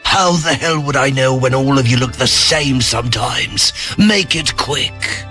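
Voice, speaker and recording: A man playing a dark king's henchman in a fantasy audio drama, fawning to his master and contemptuous of underlings. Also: mid-range voice, measured pace, vocal fry. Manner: sharp, obsequious to king, contemptuous to underlings. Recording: faint music behind.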